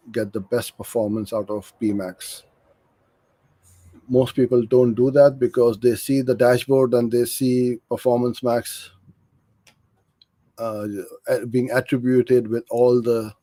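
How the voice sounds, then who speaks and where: A man's voice: mid-range voice, mellow, dry recording. A middle-aged man from Karachi, Pakistan, coaching in an informal live question-and-answer session, frank and practical as he explains. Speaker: digital marketing agency owner hosting a live Q&A.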